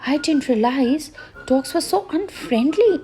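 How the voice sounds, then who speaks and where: Storybook role: woman doing a prim, timid toy tomcat, raised pitch, slow and gasping. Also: animated, warm tone, faint music behind. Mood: relieved. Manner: breathless, relieved exclamations. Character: prim, timid velvet toy cat, male.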